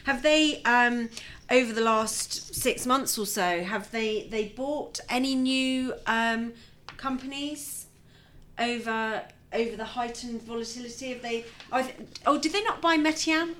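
A woman's voice: a little high, unhurried, a bit bright. A woman in a casual business conversation about fund investments, chatty and affirming, often prompting with questions.

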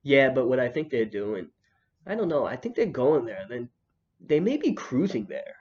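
A man's voice: mid-range voice, sing-song, normal speed, a little mellow. Young man, podcast host, laid-back joking chat, muffled lo-fi audio. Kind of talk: casual chat.

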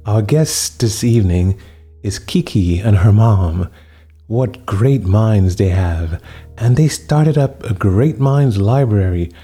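A man hosting a talk show interview, speaking slowly and emphatically and repeating his phrases for effect. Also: fairly low pitch, lively intonation, mellow, breathy edge, soft background music. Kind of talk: radio-style interview.

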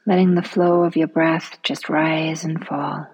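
A woman leading a guided relaxation meditation in a soft, soothing manner, often trailing off into a near-whisper. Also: low-pitched, level tone, a little slow, breathy edge, lo-fi sound.

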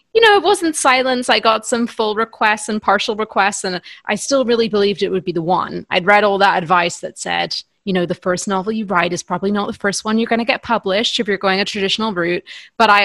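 A woman's voice: mid-range voice, fairly bright tone, dry recording. A woman in her thirties, an author from Northern Ireland, answering interview questions in an articulate, earnest way with small restarts. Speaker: author guest. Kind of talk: podcast interview.

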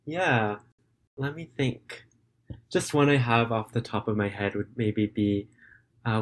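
A young man in his late teens who tutors English, answering interview questions over a video call in a relaxed, earnest way with hedging fillers. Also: lowish voice. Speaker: remote English tutor and high school student.

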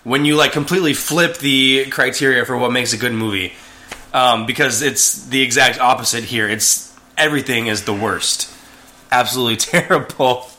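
A man's voice: mid-range voice, normal speed, pushed and bright. A man co-hosting a casual movie chat, rambling with lots of fillers and restarts. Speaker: podcast co-host.

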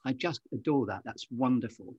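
A man with a husky, mid-pitched voice interviews in an effusive, conversational way. The sound is dry and a little dull, like a lo-fi microphone. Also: moderate pace, a little mellow, dry recording. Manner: effusive, enthusiastic. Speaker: podcast host.

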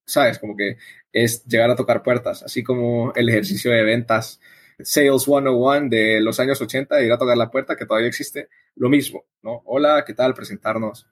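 Casting: male interview guest, husky mid-range voice, explanatory and conversational delivery. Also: medium pitch, fairly even intonation, normal speed. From El Salvador. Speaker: interview guest, coffee event organizer.

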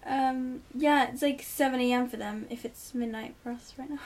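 Teen girl guest, giggly and rambling, higher voice, slightly distant pickup. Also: steady tone, normal speed, darkish tone. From Italy. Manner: chatty, giggly, rambling advice. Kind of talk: casual chat.

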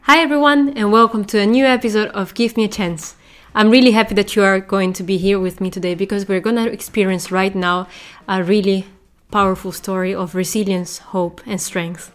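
Woman, podcast host, upbeat, halting questions, faint music behind. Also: mid-range voice, steady tone. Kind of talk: interview hosting.

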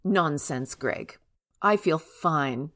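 An actress as a haughty, controlling middle-aged mother in an audio drama. She gives clipped commands in a slightly low voice with lively swings of pitch. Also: extremely slow, full character voice. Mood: haughty, impatient. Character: imperious, stubborn, controlling wealthy mother.

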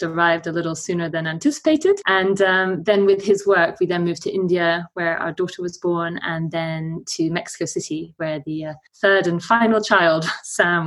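A woman's voice: lowish voice, fairly bright tone. A British woman in her forties, a travel blogger, chatting as a podcast guest in an easy, anecdotal way with frequent fillers. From Britain. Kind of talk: conversational interview.

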